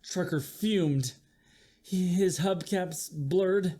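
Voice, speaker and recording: Slow-paced children's story reader. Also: medium pitch. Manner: slow, expressive, with acted-out sound effects. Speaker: teacher reading a picture book aloud. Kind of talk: children's story read-aloud with asides to listeners.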